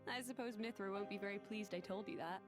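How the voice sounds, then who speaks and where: A woman playing a gentle, thoughtful young female companion in a video game, speaking softly and with care. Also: mid-range voice, quick pace, music in the background, natural voice. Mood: fond. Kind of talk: video game cutscene voice acting.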